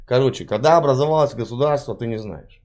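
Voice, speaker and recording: A man's voice: medium pitch, fast talker, darkish tone. A man hosting a confrontational video chat, mocking and interrogating his guest and cutting in often. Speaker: stream host.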